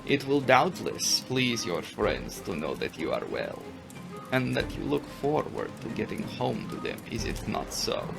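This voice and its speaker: A man performs an old vampire count for a gothic audiobook. The delivery is slow and formal, courteous yet menacing, with a creaky edge and sweeping intonation. Music plays underneath. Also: medium pitch, big pitch swings, exaggerated voice. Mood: commanding, smoothly insistent. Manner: slow, formal, deliberate with dramatic pauses. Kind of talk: dramatic audiobook character reading.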